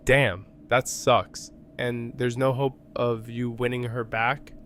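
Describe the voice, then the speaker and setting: A man's voice: medium pitch, little pitch movement, takes it slowly, a bit bright, slight background noise. A man playing a nosy, easily impressed slacker with casual slangy speech in an audio drama. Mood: sympathetic, amazed.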